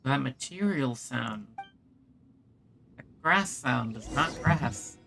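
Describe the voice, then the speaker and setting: A woman's voice: rumbling low, animated, takes it slowly, vocal fry, fairly bright tone, slight background noise. A woman streaming a game with casual, playful muttered reactions over game music.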